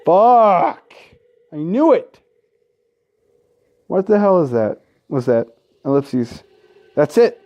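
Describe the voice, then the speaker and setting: A man doing gaming commentary, reading a visual novel aloud with casual, sweary asides and an expressive, dramatic delivery. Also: fairly high pitch, takes it slowly, pauses often, muffled recording, slight background noise. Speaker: let's play host. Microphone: close gaming microphone.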